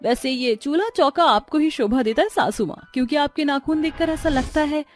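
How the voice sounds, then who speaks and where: A woman's voice: high voice, fairly quick, voice pushed up, close to an everyday voice. A woman voicing a vain, whiny, insolent young daughter-in-law in a cartoon story, with theatrical, defiant delivery. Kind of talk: Hindi cartoon story character acting. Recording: music under the voice.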